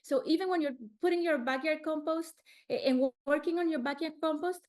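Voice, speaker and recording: A woman's voice: sits high, brisk. Earnest woman presenting slides. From Colombia. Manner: earnest, restarts words often. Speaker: webinar presenter.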